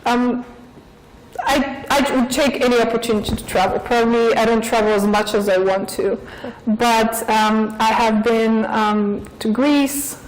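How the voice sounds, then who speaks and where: A woman in her forties from Bulgaria with a Bulgarian accent, giving a thoughtful interview as a professor, with frequent pauses and fillers. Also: on the high side, fairly even intonation, a little hiss or hum, poor audio. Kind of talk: interview answers.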